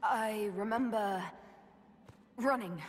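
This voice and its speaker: A woman's voice: mid-range voice, takes it slowly, lightly acted. A woman playing a bewildered captive in a fantasy video game, shaken but earnest, with a hesitant, questioning manner. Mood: shaken, confused. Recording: long reverberant hall sound.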